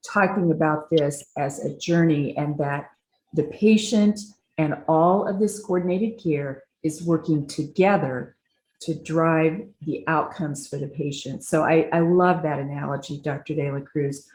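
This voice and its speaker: A woman's voice: sits low, measured pace, a little mellow. A woman hosting an interview for a foundation, enthusiastically affirming her guest before she asks questions. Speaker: interview host. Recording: echoey room.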